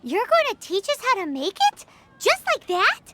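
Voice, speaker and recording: A woman voicing a tiny, cheeky, childlike fairy companion with a squeaky voice in an anime-style video game. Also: big pitch swings, normal speed, background noise. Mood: surprised. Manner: exclamatory, refers to self in third person.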